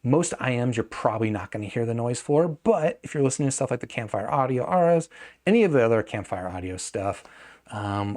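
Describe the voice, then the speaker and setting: A man's voice: lowish voice, darkish tone. A man giving a casual, unscripted product review as a host, thinking aloud, hedging and correcting himself as he goes. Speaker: host of a gadget review channel.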